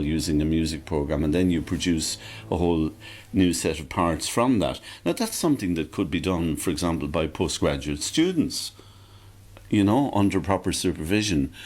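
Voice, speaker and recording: A man with an Irish accent and a notably deep, low voice talks through his points in a considered, unhurried way, often leaning on "you know" and "I mean". He is a radio interview guest who edits opera scores. Music plays clearly underneath his voice.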